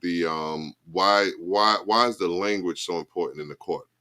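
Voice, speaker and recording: A man in his forties with a very low, deep voice. He co-hosts in a loose, halting way, circling back on his words and checking in with listeners as he goes. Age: 40s. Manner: relaxed, halting, repeats "you know what I mean". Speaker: co-host. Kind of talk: casual radio talk show.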